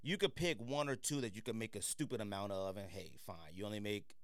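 A man's voice: fairly low pitch, fairly quick, smooth, no echo, clean studio sound. A man chatting casually as a podcast co-host, slangy and emphatic, often sounding like he has a complaint. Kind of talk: casual chat.

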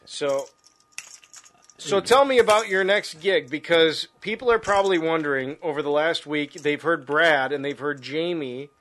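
A man's voice: a little high, moderate pace, a bit bright. A man in his forties hosting a casual, rambling chat in a gym, talking loosely with many fillers and laughs into a cheap condenser mic. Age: 40s. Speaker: podcast host. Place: gym, indoors. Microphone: cheap condenser mic with pop filter.

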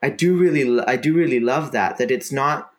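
A man's voice: medium pitch, a little fast. A man, a guest in an interview, talking in a hesitant way with many fillers and restarts. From Denmark. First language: Danish. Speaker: guest writer. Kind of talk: casual interview conversation.

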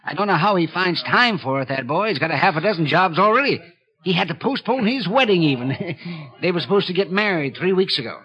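An actor playing a chatty, jovial small-town gossip in a 1950s radio drama, with a fussy, rambling manner. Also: male voice, high voice, animated, a little fast. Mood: chatty, amused. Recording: dull, lo-fi 1950s radio recording.

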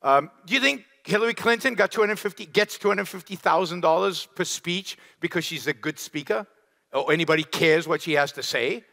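A man giving a lecture and answering audience questions, animated and emphatic, firing off rhetorical questions. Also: a little high, fairly quick, creaky. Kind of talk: public lecture Q&A. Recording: long hall reverb.

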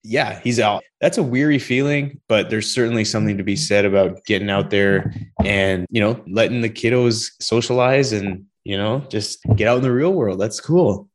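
A man's voice: on the low side, normal speed. A middle-aged man from Canada hosting a podcast over a video call, chatty and upbeat with rambling asides. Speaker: podcast host. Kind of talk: casual interview chat.

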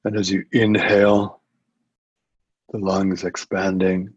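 A man with a low-pitched voice leads a guided meditation. He gives gentle instructions and leaves long, unhurried pauses between phrases. The recording is dry and somewhat muffled. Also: flat delivery, slightly rough, dry recording. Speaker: meditation teacher. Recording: muffled, dull lo-fi audio.

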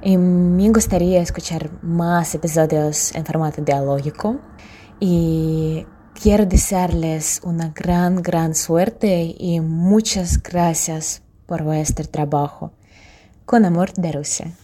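A woman's voice: fairly low pitch, fairly even intonation, slow, dark tone. A woman of twenty-two with a Russian accent, giving a prepared, rather formal spoken message in learner Spanish. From Moscow, Russia. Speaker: podcast listener sending a voice message.

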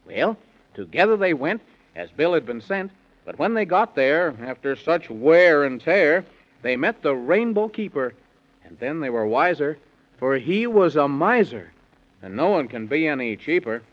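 An actor playing an excitable storyteller who tells a children's bedtime tale in theatrical singsong rhyme on 1930s radio. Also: male voice, fairly high pitch, measured pace. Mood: excitable storytelling. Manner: theatrical, singsong rhyming delivery. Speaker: rhyming narrator. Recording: muffled old 1930s radio, music behind.

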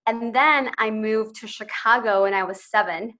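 A woman's voice: on the high side, normal speed, bright, forceful tone, low-quality recording. A woman in her twenties from Ecuador, a podcast guest telling her own story in an earnest, eager way with light laughs between phrases. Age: 20s. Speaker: podcast guest, social entrepreneur. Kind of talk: personal storytelling in interview.

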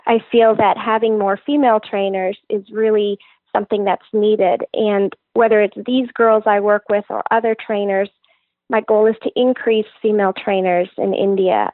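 A woman's voice: mid-range voice, dry recording. A woman who works as a fitness trainer and author, earnestly and enthusiastically explaining her work in an interview. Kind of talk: interview answers. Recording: tinny phone-like line, dry.

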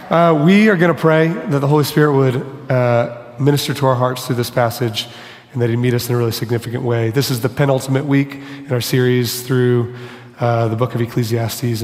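A pastor preaching a sermon in church, casual and self-deprecating, whose voice is going hoarse. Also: male voice, medium pitch, darkish tone. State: losing his voice. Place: church sanctuary. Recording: long hall reverb.

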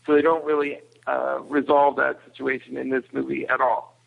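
Creaky male guest, muffled lo-fi line. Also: mid-range voice, little pitch movement, normal speed. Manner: hesitant, restarts phrases. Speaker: podcast guest reviewer. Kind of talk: casual podcast discussion.

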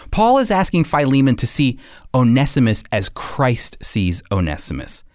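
A man's voice: mid-range voice, wildly swooping pitch, normal speed, a little mellow, no room sound, studio quality. An American man presenting scripted radio commentary and Bible teaching as a co-host. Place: dry studio.

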